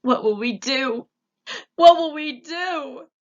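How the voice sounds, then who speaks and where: A woman reading aloud and voicing a stout, weeping castle cook who wails in a high, loud, slow voice. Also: expressive, muffled recording. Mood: wailing. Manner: wailing, high-strung and loud.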